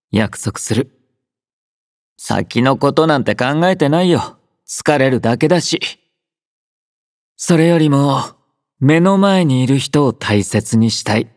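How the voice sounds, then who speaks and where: A sleepy teasing young army doctor. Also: male voice, on the high side, dry recording, clean studio sound. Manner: relaxed, drowsy, gently teasing. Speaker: game character voice lines. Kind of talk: game voice collection. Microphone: close studio mic.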